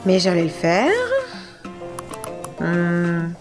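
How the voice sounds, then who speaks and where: A woman's voice: on the low side, flat delivery, extremely slow, over music. A woman playing a fussy, procedure-bound bank adviser in an amateur audio comedy, polite and officious with slight hesitations. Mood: puzzled, procedural. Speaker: voice actor.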